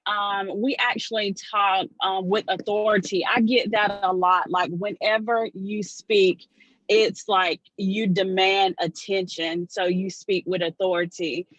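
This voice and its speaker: A relaxed, chatty woman joins a book club discussion. Her call audio is dull and lo-fi, with a dry, close sound. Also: mid-range voice, measured pace, no echo. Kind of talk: casual group discussion.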